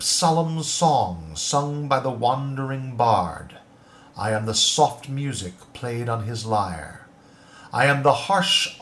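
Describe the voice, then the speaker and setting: A man giving a dramatic poetry recitation in a declamatory voice, moving between loud proclamations and hushed lines. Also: medium pitch, slight background noise.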